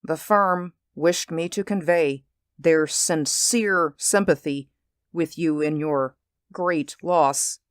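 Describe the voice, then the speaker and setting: A man voicing an uneasy, solemn company messenger in a story, speaking in a halting, quiet, formal way. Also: a little high, dry recording, studio quality, voice pushed up. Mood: formal regret. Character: ill-at-ease, solemn male company messenger bearing bad news.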